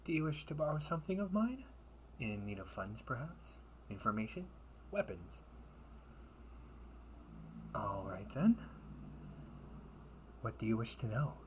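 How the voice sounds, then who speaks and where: A man playing a smooth, scheming older brother who brokers information for a crime syndicate, teasing and theatrical in an audio roleplay. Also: medium pitch, big pitch swings, slight background noise. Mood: teasing, coaxing. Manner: silky, teasing, unhurried, theatrical.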